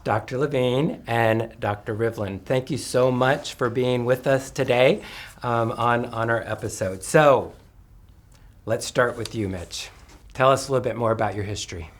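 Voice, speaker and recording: A man hosting a podcast, reading a scripted introduction in a smooth, announcer-like way before turning friendly with his guests. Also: mid-range voice, normal speed. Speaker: podcast host.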